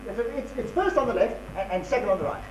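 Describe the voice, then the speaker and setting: A man's voice: sits high, expressive, fast talker, muffled recording. A man on stage in an amateur comedy, playing an awkward, flustered friend who stammers and backtracks as he tries to placate, in an echoey theatre. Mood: evasive. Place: theatre stage, recorded live. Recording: distant echoey hall, loud hum.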